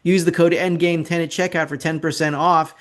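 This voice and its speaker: A man's voice: fairly high pitch, flat delivery, a little fast. A man hosting an informal financial commentary over slides, opinionated and sardonic, often repeating phrases for emphasis. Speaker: financial commentator and show host.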